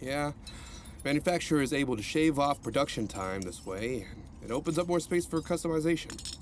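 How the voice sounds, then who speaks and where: An upbeat, rambling male counselor, played by a man in an audio drama. His voice sits a bit high and swings widely in pitch. A faint noise bed sits behind him. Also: lively intonation, a little fast, natural voice. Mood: cheery. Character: cheerful male rehab counselor, upbeat and chatty.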